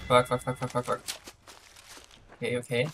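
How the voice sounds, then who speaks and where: A man's voice: mid-range voice, monotone, normal speed, very noisy. A man from France with a French accent, streaming a shooter game and giving short commands to his team. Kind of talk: gaming commentary with teammates.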